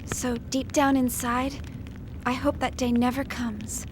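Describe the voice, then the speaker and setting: A young female engineer from a video game, with a high voice and a gentle, caring way of talking. She sounds conversational, with clear noise behind her. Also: flat delivery, slow pace. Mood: wistful, tender.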